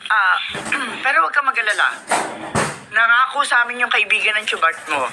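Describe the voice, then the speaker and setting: A woman playing a controlling aunt in a radio drama, breezily reassuring and smooth-talking. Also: a little high, animated, moderate pace, lightly acted. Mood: sly, reassuring. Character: controlling aunt sweetly promising money to her niece. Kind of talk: Filipino radio drama acting. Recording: noisy radio drama recording.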